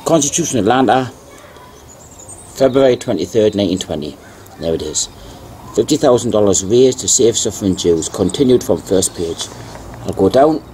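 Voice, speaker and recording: A man narrating as he reads old newspaper articles aloud, deliberately, repeating key phrases. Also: fairly low pitch, lively intonation, mellow, a little hiss or hum, low-quality recording. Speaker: video narrator.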